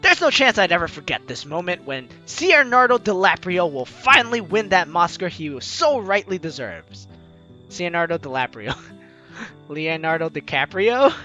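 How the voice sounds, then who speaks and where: Male let's-play host, high and lively, playful running commentary. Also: normal speed, muffled recording, faint music behind. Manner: lively, laughs, breaks off to comment. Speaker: let's play host reading a visual novel. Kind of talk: playthrough reading with commentary.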